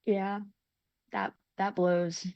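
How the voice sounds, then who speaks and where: A woman's voice: mid-range voice, level tone, extremely slow, clear, resonant tone, no room sound, highs cut off. An actress playing a kind, upbeat young woman in a naturalistic script read, cheerful and earnest with some hesitancy. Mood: awkward, encouraging.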